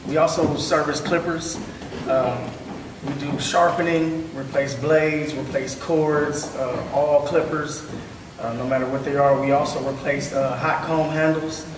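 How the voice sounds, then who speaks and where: A man's voice: fairly high pitch, fairly even intonation, measured pace, background noise. A man in his thirties on a panel, speaking earnestly and conversationally about his barbering work, with many fillers. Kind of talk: panel discussion. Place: large hall with seated audience. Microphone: far camera. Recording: echoey hall from far off.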